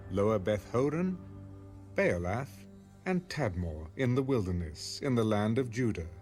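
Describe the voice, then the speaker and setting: A man's voice: medium pitch, a little mellow. A man narrating scripture in a scripted, dramatic reading style. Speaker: audio Bible narrator. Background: music.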